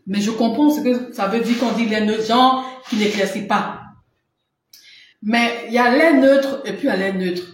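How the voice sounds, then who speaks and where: A woman's voice: on the high side, moderate pace. Chatty woman in a very echoey room. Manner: chatty, laughs often, answers viewers' comments. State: runny nose. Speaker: live-stream seller. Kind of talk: casual live-stream chat. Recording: very echoey room with faint noise.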